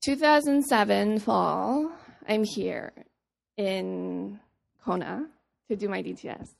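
Earnest woman with a gravelly edge. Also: mid-range voice, slow pace. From Papua New Guinea. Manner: earnest, conversational, restarts and acts out dialogue. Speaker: speaker sharing a testimony. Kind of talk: personal testimony talk.

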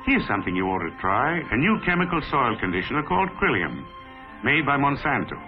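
A man's voice: mid-range voice, very animated, a little fast. A man giving folksy, plain-spoken promotional narration in a mid-century style. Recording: dull old promotional recording, music behind. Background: music.

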